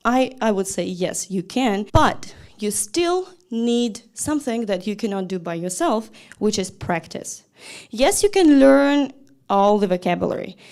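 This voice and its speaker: A young woman, a language teacher giving a lively, chatty talk to an audience. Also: mid-range voice. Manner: lively and chatty, with small laughs and restarts.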